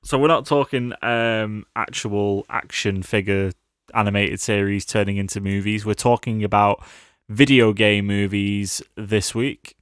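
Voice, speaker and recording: A British man with a slightly low voice chats about films as a podcast co-host. His delivery is informal, with a wry, jokey streak. The recording is very dry and free of room sound. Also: fairly even intonation, measured pace, clean tone, no room sound. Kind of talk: casual chat about films.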